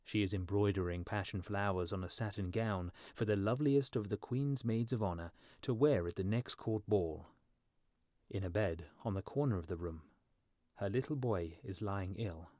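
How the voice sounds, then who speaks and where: A man voicing a gentle, sorrowful statue of a dead prince in a storybook, musical and mournful. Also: no echo, clean studio sound, lightly acted. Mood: pitying, pleading. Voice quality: low, musical. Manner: slow, gentle, mournful, pleading.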